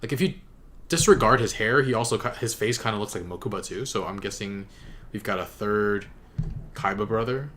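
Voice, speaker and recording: Low-voiced man reacting casually. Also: a bit bright. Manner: halting, thinking aloud. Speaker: reaction video host.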